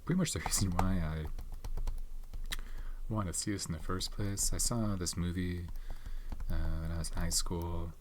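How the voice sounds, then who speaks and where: A soft-spoken man in his thirties hosting an ASMR channel, rambling casually about movies with many fillers and some whispered words. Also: deep voice, normal speed, pauses often, a little hiss or hum. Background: tapping and keyboard clicks.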